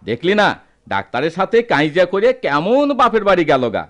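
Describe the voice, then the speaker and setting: Poor village father: high-pitched, very animated, rustic Bangladeshi accent, bitter yet tender radio drama delivery. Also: fairly quick. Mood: bitter, resigned. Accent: rural Bangladeshi. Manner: emotional, lamenting rustic speech.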